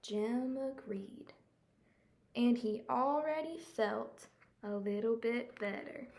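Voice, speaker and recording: A woman's voice: on the high side, slow pace, darkish tone. A woman storyteller reading a picture book aloud, expressively explaining and asking young listeners questions.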